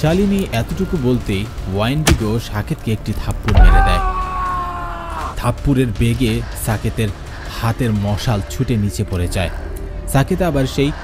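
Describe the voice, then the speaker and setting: A man narrating an animated horror story in a dramatic, suspenseful scripted style. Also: mid-range voice, moderate pace, very noisy.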